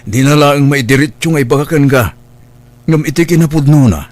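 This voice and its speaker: A man's voice: fairly high pitch, fairly quick, darkish tone, no room sound, lightly acted. A man playing a stern, protective uncle in a radio drama, with a Filipino accent and a lecturing, reproving manner. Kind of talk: Ilocano radio drama acting.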